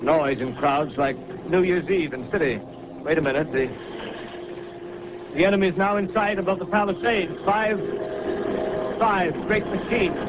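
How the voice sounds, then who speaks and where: An actor playing a doomed, shaken radio announcer reporting an invasion in halting, urgent 1930s radio drama style. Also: male voice, on the high side. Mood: grave, resigned. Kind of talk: 1930s radio acting, live news report. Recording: noisy, muffled 1938 radio broadcast recording.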